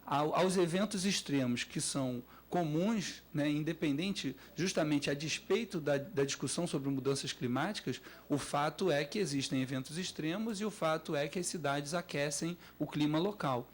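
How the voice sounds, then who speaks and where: A man with a Brazilian accent speaking off the cuff as an academic panelist, halting and repeating words as he develops his points. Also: fairly high pitch. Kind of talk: spontaneous panel discussion.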